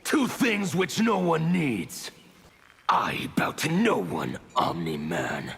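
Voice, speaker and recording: A man's voice: on the high side, huge pitch swings, takes it slowly, gravelly, fairly bright tone, husky, heavily acted. A man playing a proud, defiant warrior who bows to nobody, in a fighting game. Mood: proud defiance. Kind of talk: video game fight intro banter. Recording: long hall reverb, some noise.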